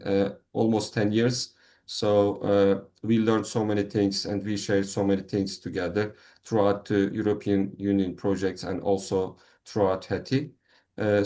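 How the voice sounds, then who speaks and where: A man's voice: fairly low pitch, darkish tone. A man hosting an online meeting, speaking English as a with many fillers and restarts. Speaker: meeting host. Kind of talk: online meeting, casual moderating.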